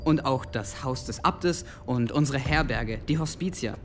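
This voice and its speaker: An actor playing an eager, earnest young monk who explains things carefully in a radio drama. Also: male voice, medium pitch, unhurried, slight background noise, natural voice. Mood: eager, explanatory.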